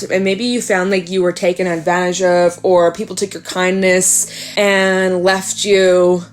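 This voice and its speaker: A young woman with a stuffed-up nose, reading tarot cards casually to her viewers, chatty and rambling with lots of fillers. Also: fairly low pitch. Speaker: tarot reader.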